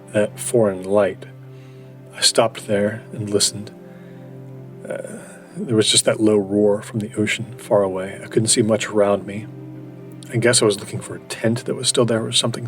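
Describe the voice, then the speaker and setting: A man playing a solitary seeker, recounting an eerie night search in a measured, hesitant way for a horror audio drama. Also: fairly low pitch, natural voice. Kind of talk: first-person horror audio drama monologue. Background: faint music.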